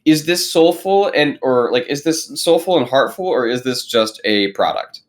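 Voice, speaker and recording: A young man in a casual film discussion, talking haltingly and repeating himself, with "like" in nearly every phrase. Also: mid-range voice, lively intonation. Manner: halting, repetitive, heavy use of "like". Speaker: podcast panelist.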